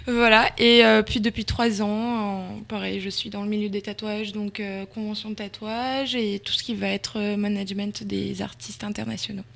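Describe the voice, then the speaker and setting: A woman's voice: medium pitch, flat delivery, moderate pace, creaky, few pauses, fairly bright tone. A woman from Georgia speaking informally as an interview guest, explaining things with frequent hesitations. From Georgia (country). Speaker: interview guest, event organizer. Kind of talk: casual chat, explaining.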